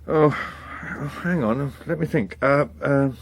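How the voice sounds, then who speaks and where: A man's voice: medium pitch, takes it slowly. An actor playing an irritable, sardonic middle-aged English politician and father in a naturalistic radio drama. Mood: distracted. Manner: blustering, sardonic.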